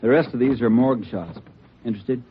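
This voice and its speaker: An actor playing a brisk, wry male crime lab technician with a husky voice in an old radio police drama. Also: medium pitch, slight background noise, natural voice. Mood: matter-of-fact. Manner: wry, businesslike. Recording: muffled old radio recording.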